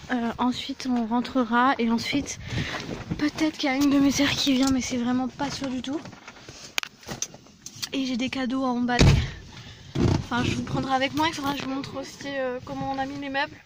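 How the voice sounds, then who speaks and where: A woman's voice: high-pitched, noisy background. A young woman vlogging casually at home, stuffy with a cold and hesitant, full of apologetic fillers. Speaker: family vlogger. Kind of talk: casual chatty vlog to camera.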